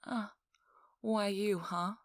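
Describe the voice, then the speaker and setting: A woman's voice: medium pitch, fairly even intonation, no echo. A woman playing a feisty, self-assured young socialite in an intimate ASMR audio roleplay, teasing and dryly sarcastic, sometimes dropping toward a whisper. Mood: teasing, smug. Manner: slow, teasing, dry sarcasm, drifting into whispers.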